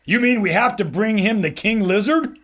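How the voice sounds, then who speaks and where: An actor playing a brash, wisecracking adventurer filmmaker with raspy, slangy tough-guy talk. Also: high voice, normal speed, a bit bright.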